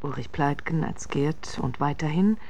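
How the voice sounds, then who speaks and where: A woman's voice: low-pitched, a little slow, vocal fry, darkish tone, a little hiss or hum. A woman reading a formal scripted broadcast announcement as a credits announcer.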